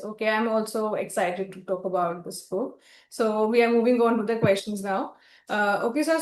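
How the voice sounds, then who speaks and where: A woman hosting an author interview, speaking politely and deferentially with partly scripted introductions and questions. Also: a little high. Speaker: interviewer and host for a publisher. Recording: roomy echo.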